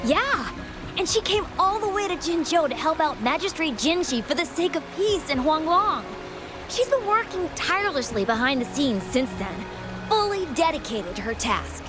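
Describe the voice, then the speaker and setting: A woman's voice: sits high, big pitch swings, moderate pace. A woman playing a curious, earnest female adventurer and eager admirer in a fantasy video game, with music behind her. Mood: admiring, wistful. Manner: earnest, inquisitive.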